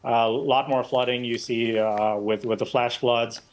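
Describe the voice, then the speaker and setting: A man's voice: mid-range voice, fairly even intonation, moderate pace, low-quality recording. Man from Bangladesh, casual remote guest. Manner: casual, conversational, frequent fillers and restarts. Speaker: interview guest, eyewitness. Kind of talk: live news interview.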